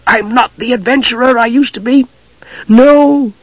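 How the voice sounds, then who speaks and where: A man's voice: extremely high pitch, a little slow, dry recording, lo-fi sound, voice pushed up. A man voicing a small, naive, chatty kender adventurer who rambles eagerly, in a fantasy story reading. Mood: confiding, wistful.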